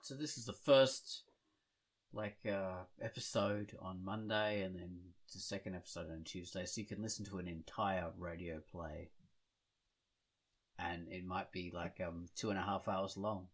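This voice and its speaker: A man's voice: deep voice, fairly even intonation, unhurried. A man hosting a podcast in casual chat, rambling and thinking aloud as he goes. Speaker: podcast host.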